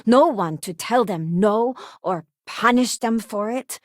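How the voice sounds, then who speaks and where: A woman's voice: medium pitch, slow pace, dry recording, studio quality. An actress playing a principled, idealistic female crew companion in a space video game, speaking sincerely and conversationally. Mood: earnest, disillusioned. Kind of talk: acted game companion dialogue lines.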